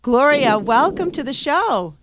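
A woman's voice: high voice, very animated, measured pace, noisy background. Polished woman radio host. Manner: polished, friendly scripted intro, then conversational questions. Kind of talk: radio talk show interview. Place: radio studio.

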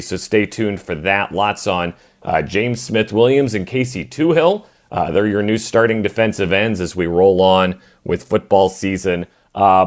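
A man hosting live sports talk radio, fighting a voice that is going, talking in restarts and quick asides. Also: on the low side. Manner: animated, restarting phrases. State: losing his voice. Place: radio studio.

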